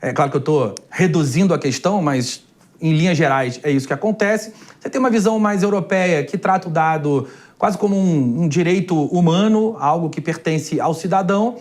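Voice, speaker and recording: A man hosting a Brazilian TV interview program, putting measured, formal questions to his guest. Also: on the high side, darkish tone. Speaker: TV program host.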